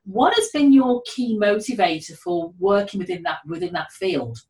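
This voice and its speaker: A woman interviewer is heard from a distance in an echoey room, with a mid-pitched voice. She is courteous and affirming, and tends to repeat phrases while putting her questions. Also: animated, normal speed, a little mellow. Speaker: interviewer, host. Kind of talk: conversational interview.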